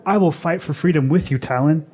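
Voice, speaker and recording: A man's voice: fairly high pitch, a little fast, darkish tone, slightly rough. A man playing a boastful, lovesick young would-be warrior in a fantasy radio drama, emphatic and eager.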